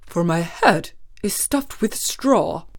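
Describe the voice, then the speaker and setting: A woman's voice: lowish voice, animated, takes it slowly, heavily acted. A woman voicing a curious male scarecrow stuffed with straw in a storybook reading. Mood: matter-of-fact.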